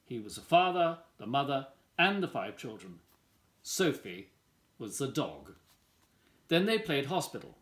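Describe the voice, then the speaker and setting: Animated man reading a children's story. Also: mid-range voice, a little slow. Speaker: volunteer story reader. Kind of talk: children's picture book read-aloud.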